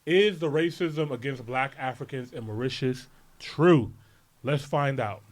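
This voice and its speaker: A man's voice: mid-range voice, measured pace. An American man hosting a reaction video in a laid-back, slangy chat. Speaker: reaction channel host.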